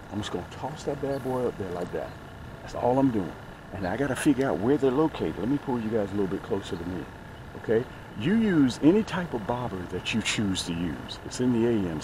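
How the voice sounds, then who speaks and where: Animated middle-aged man, folksy. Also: on the low side. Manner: folksy, emphatic, repeats phrases for effect. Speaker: host of a fishing channel. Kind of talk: casual fishing vlog, talking to viewers. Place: outdoors on a small boat on a lake. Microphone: action camera mounted near him. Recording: outdoor noise, small action camera.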